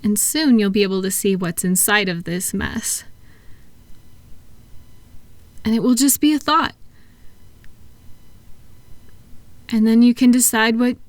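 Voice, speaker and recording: A woman playing a kind therapist in a comfort audio roleplay, speaking softly and gently, reassuring and encouraging her patient without hurry. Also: a little high, steady tone, a little fast, no echo, lightly acted. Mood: gentle reassurance. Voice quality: soft-spoken. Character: kind, soothing woman therapist guiding a patient with futuristic tablet.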